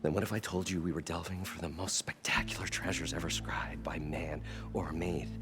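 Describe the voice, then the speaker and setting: Eager inventor-showman for a game, low creaky voice, flowery delivery, music behind. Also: level tone, a little fast. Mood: awed, showy. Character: eager, showy male treasure-diving inventor and dreamer. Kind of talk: video game cutscene acting.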